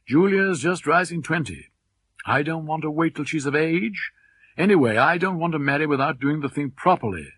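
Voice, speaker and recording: A man's voice: on the high side, lively intonation, moderate pace, a bit bright, no room sound, voice pushed up. A man reading a novel aloud as a brash, self-assured businessman suitor, blunt, boastful and slangy. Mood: brisk, determined.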